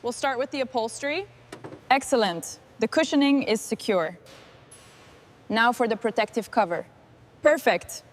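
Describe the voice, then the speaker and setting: A fairly high-voiced woman leads a restoration crew in calm, clipped orders. Every line is short and even. Faint workshop noise hums behind her. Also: normal speed, pauses often. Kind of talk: scripted workshop dialogue.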